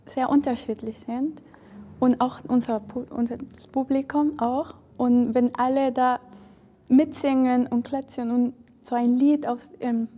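A woman choir member speaking in an interview, softly and with some hesitation. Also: high voice, fairly even intonation, a little slow.

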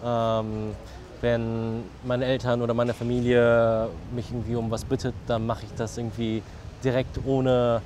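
A man's voice: mid-range voice, flat delivery, unhurried, a little mellow, slight background noise. A man in his thirties from Germany, a ceramic artist, speaking in a TV interview, hesitant with many fillers and restarts. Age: 30s.

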